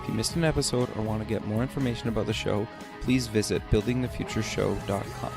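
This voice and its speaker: A man's voice: fairly low pitch, fairly even intonation, fast talker, gravelly, over music. Hesitant male podcast host. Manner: hesitant questions with "um" and "kind of". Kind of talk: interview host.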